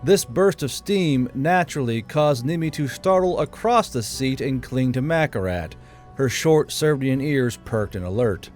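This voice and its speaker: A man's voice: medium pitch, animated, unhurried, darkish tone. A man reading scripted fantasy narration as an audiobook narrator. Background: background music.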